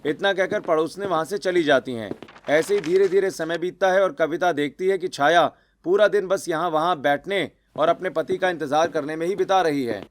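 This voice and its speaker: A man's voice: a little high, moderate pace, slight background noise. A man narrating a scripted cartoon story.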